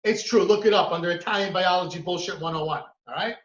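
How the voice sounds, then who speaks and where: A man from the United States doing a stand-up comedy routine. He tells his stories with animated energy and acts out other people's voices. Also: high-pitched, fast talker, echoey room, lo-fi sound, slight background noise. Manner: animated storytelling, acts out his mother and friend.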